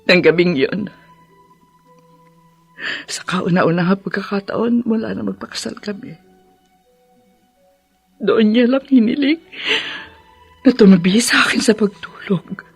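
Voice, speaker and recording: A woman acting a tender, devoted widowed mother in a radio drama, with a halting, emotional delivery. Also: mid-range voice, creaky, husky, no echo, soft background music. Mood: sorrowful, confiding.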